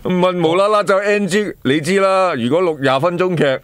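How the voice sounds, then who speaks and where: A man's voice: high-pitched, a little fast, clear, resonant tone, dry recording. Hong Kong man reminiscing on radio. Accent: Hong Kong Cantonese. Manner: reminiscing, explanatory. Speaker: radio host. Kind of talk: casual radio chat. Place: radio studio, indoors. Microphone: studio boom mic.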